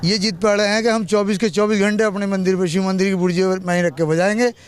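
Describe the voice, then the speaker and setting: A local man answers a reporter off the cuff. His voice is high and clear, and he lays out his explanation at a rapid pace. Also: steady tone. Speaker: local villager being interviewed.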